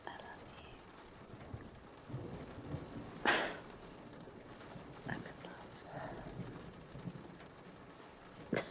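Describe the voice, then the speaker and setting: A woman playing a flustered, lovestruck girlfriend who is sick in bed, gushing and halting in an intimate audio roleplay. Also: sits low, darkish tone, slight background noise, close to an everyday voice. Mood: soft, dreamy. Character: lovestruck, grumpy-but-soft woman sick in bed, newly smitten.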